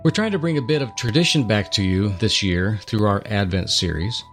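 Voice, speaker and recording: A man's voice: fairly low pitch, expressive, fairly quick, a bit bright. Earnest man hosting over faint music. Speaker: radio show co-host.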